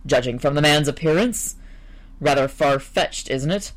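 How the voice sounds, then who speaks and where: A woman's voice: deep voice, normal speed. A woman voicing a stern, brusque male newspaper editor who speaks in clipped commands. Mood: curt.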